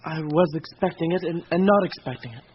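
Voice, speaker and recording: An actor playing a loyal, guarded fifteen-year-old schoolboy in a radio drama, with a terse, touchy manner. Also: male voice, a little high, brisk, close to an everyday voice. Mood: defensive. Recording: dull, noisy old radio recording.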